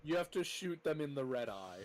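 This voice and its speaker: A man's voice: on the high side, very animated, a little fast. A man commentating casually on a game stream, teasing and explaining as he goes. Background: clear game music.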